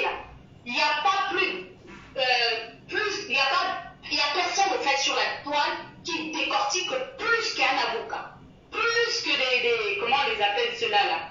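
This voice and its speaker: A woman's voice: sits high, pushed and bright. A woman from the Republic, speaking as a guest in a casual interview and often hesitating and repeating herself as she searches for words. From Central African Republic. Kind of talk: casual interview talk, moral advice. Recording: echoey, distant, dull lo-fi sound.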